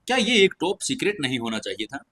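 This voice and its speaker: A man's voice: fairly high pitch, sing-song, fast, bright, forceful tone, full character voice. A man voicing a cold, commanding teenage noble girl in an audio story, with an indifferent, dismissive manner. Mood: cool questioning. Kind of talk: audio story character dialogue.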